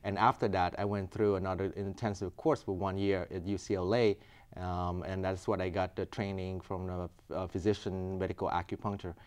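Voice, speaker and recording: A man from Vietnam with a fairly low voice. He speaks earnestly and patiently, explaining his medical work point by point. His English has grammar. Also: little pitch movement, moderate pace, darkish tone, clear, resonant tone. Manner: earnest, explaining step by step, non-native grammar. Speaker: physician guest. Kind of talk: explanatory interview answers.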